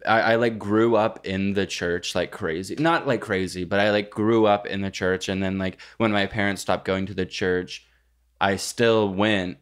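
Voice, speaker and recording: A man's voice: low voice, fairly even intonation, normal speed, smooth. A young man co-hosting a casual podcast, with a jokey, exaggerating, sweary way of talking. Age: young adult. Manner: jokey, profane, hyperbolic. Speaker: podcast co-host.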